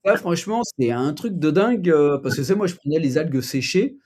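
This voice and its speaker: A man's voice: a little high, expressive, brisk, darkish tone. A man hosting a casual live chat, rambling loosely with lots of fillers. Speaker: livestream host.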